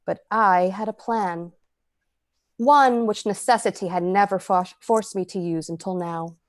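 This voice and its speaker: A woman playing a cold, predatory poisoner who narrates an old-time radio drama in a measured, dramatic way. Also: medium pitch, natural voice. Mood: calm, confiding. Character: cold, predatory, calculating poisoner telling the tale.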